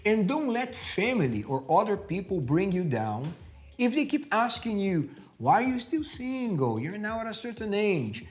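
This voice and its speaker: Guy hosting a tv love talk show, forties, really animated, bit of music in back. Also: high-pitched, moderate pace. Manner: lively, upbeat presenting. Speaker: host. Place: TV studio, indoors.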